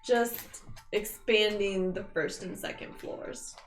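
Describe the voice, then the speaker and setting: A woman's voice: medium pitch, fairly even intonation, slow, soft background music. A woman player chatting during a tabletop game, laughing often. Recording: distant echoey mic with noise.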